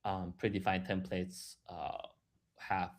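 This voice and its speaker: A man giving a live, explanatory software demo in a halting way, repeating words and restarting as he goes. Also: low-pitched, monotone. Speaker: software product presenter.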